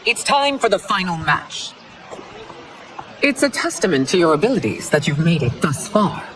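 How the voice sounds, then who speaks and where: A woman's voice: fairly low pitch, sing-song, moderate pace, a bit bright, a little hiss or hum. A woman voicing a proud, commanding empress in a video game, speaking formally and scolding. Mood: regal. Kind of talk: video game cutscene acting. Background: game music.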